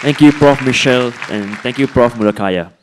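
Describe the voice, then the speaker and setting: A man introduces the next speaker in a formal, loud announcer voice. He sounds distant over the hall PA, with a faint crowd murmur behind. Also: mid-range voice, normal speed, noisy background. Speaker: event announcer.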